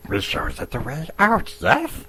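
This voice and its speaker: A man voicing a slimy, hungry cave creature who wheedles and threatens in raspy, drawn-out hisses as he talks to himself in a storybook reading. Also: fairly high pitch, wildly swooping pitch, sharp tone, faint music behind, pitched above the performer's own voice, full character voice. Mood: menacing, wheedling. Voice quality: hissing, raspy, creaky.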